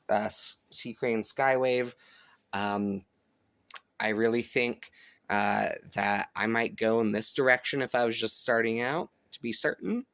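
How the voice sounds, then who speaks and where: A man's voice: on the low side, a bit bright, clear, resonant tone, dry recording, clean studio sound. A man giving a casual, unscripted product review as a vlogger, thinking aloud with some hesitation.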